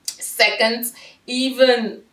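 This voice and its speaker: A woman's voice: on the high side, very slow, far from the mic. Chatty woman in an echoey room. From Curaçao. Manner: chatty, laughs often, animated asides. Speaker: vlogger. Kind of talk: casual language-learning tips vlog.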